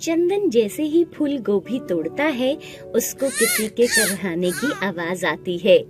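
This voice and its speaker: A woman narrating a scripted animated story, with music playing behind her voice. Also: a little high, slow pace. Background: background music.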